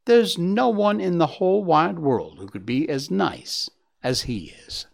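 A man's voice: fairly high pitch, expressive, measured pace, creaky, pitched above the performer's own voice. A man voicing a boastful young buck deer. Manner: boastful, proud.

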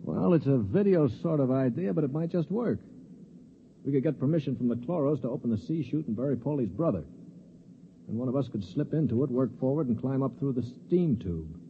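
An actor playing a cynical, sardonic spacer in a 1950s radio drama, with a dry, commanding delivery. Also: male voice, medium pitch, animated, fairly quick, a little hiss or hum, natural voice. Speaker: narrator and lead. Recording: dull old radio broadcast.

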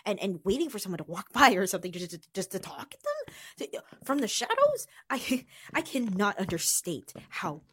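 A woman playing a startled, indignant young cyberpunk heroine in an audio drama, rambling in a flustered, halting, sarcastic way. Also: mid-range voice, sing-song, a bit bright, slight background noise.

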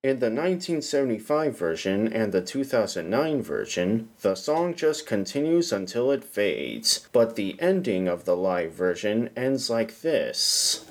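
A man hosting a show, reading scripted narration in a deliberate, measured way. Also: fairly low pitch, big pitch swings, soft background music. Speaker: podcast host.

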